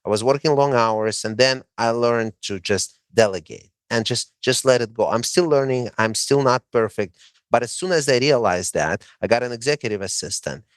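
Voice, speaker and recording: A man with a Russian accent talks in an emphatic, coaching way, often pausing on "uh" as he gives advice. His voice sits at an ordinary middle pitch. The sound is close and dry, with no room around it. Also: no room sound. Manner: emphatic, coaching tone with frequent "uh" fillers. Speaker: guest, franchise owner. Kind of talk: casual interview chat.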